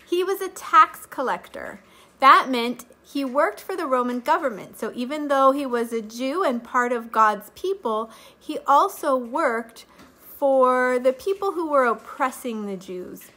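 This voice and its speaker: A woman's voice: high voice, lively intonation, a little slow, sharp tone. A woman in her thirties, a little stuffy from allergies, giving a children's Bible lesson to camera in a sing-song teacher voice, recorded close up at home. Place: living room at home. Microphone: webcam or phone camera at close range.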